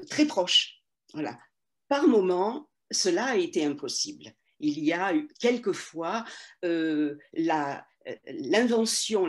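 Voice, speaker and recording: An older woman, a literary translator, answering interview questions slowly and thoughtfully, pausing often and restarting phrases. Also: on the low side, darkish tone. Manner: slow, measured, many hesitations and restarts.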